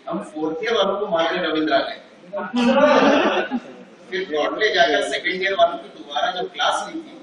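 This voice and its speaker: A man on stage reading out a wry, reminiscing reply letter about his working life, in an auditorium. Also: sits high. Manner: wry, reminiscing, self-mocking. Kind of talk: stage play monologue. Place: large auditorium. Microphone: unamplified stage, far from the recorder. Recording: echoey hall from far off.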